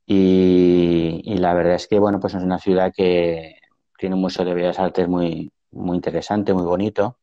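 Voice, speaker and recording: A man in his fifties with a Valencian Spanish accent talks over a video call, his low voice rough with fry and mellow in tone. He answers slowly, halting and restarting as he thinks. The sound is dry but a little dull and muffled. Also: flat delivery, no echo. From Valencia, Spain. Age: 50s. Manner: hesitant, many restarts and fillers. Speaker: painter, guest. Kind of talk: reflective interview answers. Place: room at home.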